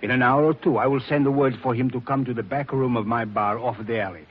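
An actor playing a worried, earnest Sicilian bar owner with an Italian accent in a 1940s radio drama. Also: male voice, medium pitch, fairly even intonation, fast talker, close to an everyday voice. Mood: cooperative. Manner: earnest, cautionary. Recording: muffled, noisy old 1949 radio recording.

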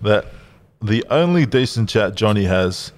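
A man's voice: lowish voice, animated, a little slow. A man co-hosting a casual podcast, reading listener questions aloud and laughing often. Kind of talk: casual banter.

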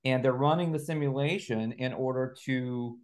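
A man's voice: medium pitch, normal speed, a little mellow. Man methodically explaining in an interview. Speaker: guest author and researcher. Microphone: video call.

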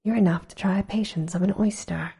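A woman's voice: medium pitch, fairly even intonation, fairly quick, gravelly, mellow, breathy edge. A woman whispering a snappish young crab.

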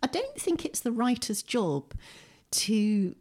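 A woman with an English accent talking as a guest in a relaxed interview, wry and animated, often breaking off and restarting her sentences. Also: fairly high pitch, slow. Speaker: interview guest, poet and fiction writer. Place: indoors, at home.

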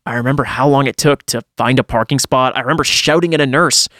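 A man's voice: mid-range voice, fast talker, pushed and bright, dry recording, studio quality. A man reading a first-person horror story aloud in a dramatic storytelling style, as a podcast host would.

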